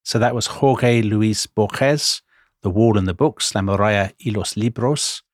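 A man co-hosting a conversational history podcast, talking in a lively, jokey way with quick asides. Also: lowish voice, no echo, studio quality. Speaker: podcast co-host.

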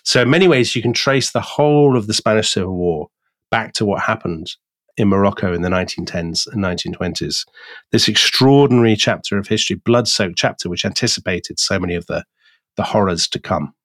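A man co-hosting a podcast, telling a history story in a measured, deliberate way with pauses. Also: lowish voice, fairly bright tone, no echo. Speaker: podcast co-host.

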